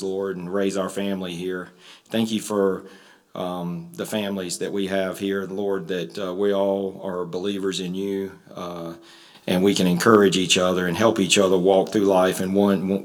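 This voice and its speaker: Deep man, a bit hoarse, halting folksy church prayer. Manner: folksy and halting, with many ums and uhs. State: slightly hoarse. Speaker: church member leading prayer. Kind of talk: spoken prayer and announcements. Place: church sanctuary.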